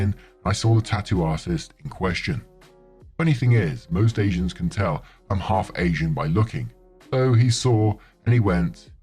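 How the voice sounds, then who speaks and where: Male narrator, very low voice, reads online stories, soft music bed. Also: husky. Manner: reads commenters' posts aloud in an even, conversational storytelling tone. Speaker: narrator reading forum posts. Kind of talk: scripted narration. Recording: faint music underneath.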